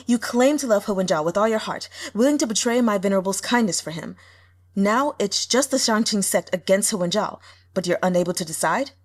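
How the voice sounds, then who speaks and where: A woman voicing a menacing, impatient male sect lord in an audiobook, blunt, imperious and scornful. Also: sits high. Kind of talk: audiobook character acting.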